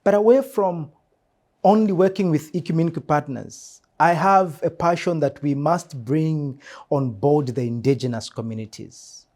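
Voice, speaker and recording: A man with a Zambian accent giving earnest interview answers as a church mission secretary, measured and emphatic, repeating phrases for emphasis. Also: on the high side, darkish tone.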